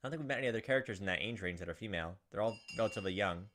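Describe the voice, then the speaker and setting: A man's voice: lowish voice, rapid-fire, a bit bright, a little hiss or hum. A man hosting a visual novel playthrough, reading narration aloud and commenting casually. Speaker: gaming video host.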